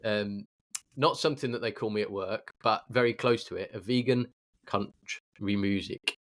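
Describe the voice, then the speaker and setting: A man's voice: fairly low pitch, normal speed, a bit bright. A man with a British accent chatting casually as a podcast co-host, given to jokey, sarcastic banter. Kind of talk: casual chat.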